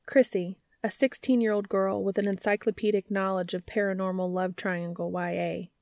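A woman's voice: fairly low pitch, no room sound. Woman reading aloud, dry close sound. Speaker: podcast host and fan fiction reader. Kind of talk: scripted narration, reading fiction aloud.

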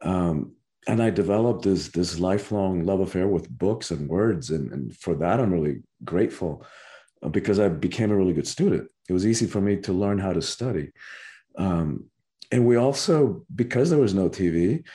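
A man's voice: sits low, lively intonation, normal speed, dark tone. A man born in Cuba, a spiritual teacher and author, answering interview questions earnestly and often restarting his phrases.

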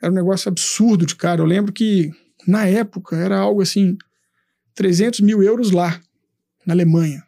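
A man telling his business story in a casual interview, animated, swearing and acting out his past thoughts. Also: high-pitched, a little fast, vocal fry, dry recording, studio quality. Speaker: entrepreneur guest.

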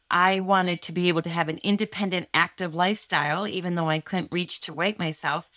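A woman's voice: lowish voice, a bit bright, dry recording. A woman in her sixties from Michigan, telling her own story earnestly and candidly as a guest on an interview show. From Holland, Michigan, USA. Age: 60s. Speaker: guest inventor.

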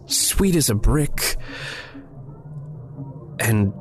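A man's voice: mid-range voice, expressive, drawn-out words, reverberant, big-room sound, faint music behind. A man playing a theatrical, playful, grandly silly and sardonic figure in an audio drama.

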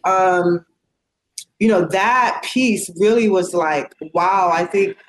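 A woman's voice: mid-range voice, takes it slowly, roomy sound, a little hiss or hum, rough recording. A woman speaking in casual conversation, earnest and outspoken, with lots of fillers. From United States.

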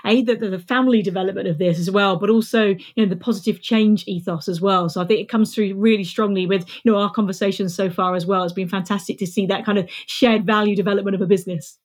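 A woman's voice: mid-range voice, fairly even intonation, quick pace, hardly any pauses. Enthusiastic woman hosting a tech interview. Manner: warm enthusiastic questioning, some restarts. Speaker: podcast host.

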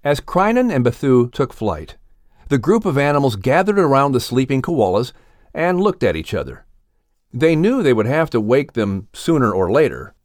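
A man's voice: medium pitch, very animated, normal speed, dry recording, studio quality. A man narrating an audiobook in a storytelling manner. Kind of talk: scripted narration.

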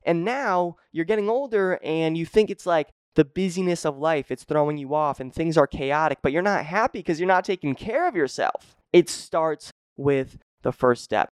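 A man's voice: fairly high pitch, moderate pace, hardly any pauses, smooth, no echo, clean studio sound. A young man hosting his own podcast as a fitness coach, talking energetically and emphatically and repeating key phrases. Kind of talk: motivational solo podcast talk.

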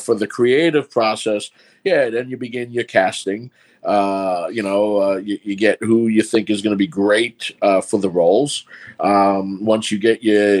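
A man with a New York accent, a filmmaker talking casually through his process step by step. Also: fairly low pitch. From New York City. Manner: rambling, explains step by step. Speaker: filmmaker guest. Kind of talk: casual podcast chat.

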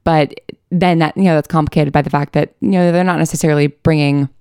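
A woman with a distinctly low voice talks through her ideas in an interview. She is earnest and thoughtful, conversational in style, and the sound is dry with little room to it. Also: steady tone, fast talker, dark tone, smooth, no room sound. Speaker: interview guest, audio drama writer.

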